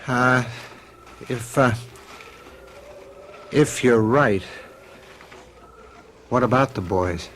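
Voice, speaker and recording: A middle-aged American husband in a radio drama, steadying and gentle but troubled underneath. His pitch is ordinary for a man and his delivery hesitant. A faint noise sits behind him. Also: drawn-out words, long pauses. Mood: uneasy. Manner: hesitant, reassuring.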